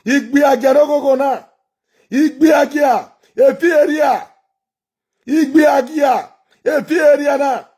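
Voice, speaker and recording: Shouting high-voiced man. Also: steady tone, measured pace. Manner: repetitive, shouting chants. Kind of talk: shouted rant.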